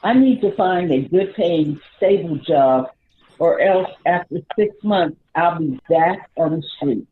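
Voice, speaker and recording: A woman's voice: lowish voice, animated, unhurried. A woman playing a worried, indignant homeless citizen in an amateur radio play, earnest and incredulous. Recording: phone-like muffled sound with faint noise.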